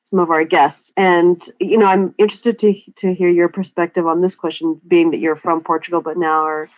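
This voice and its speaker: A woman's voice: lowish voice, steady tone, moderate pace, no echo. Woman co-host asking questions, lo-fi. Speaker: podcast co-host. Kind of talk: interviewing a guest. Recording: dull lo-fi sound.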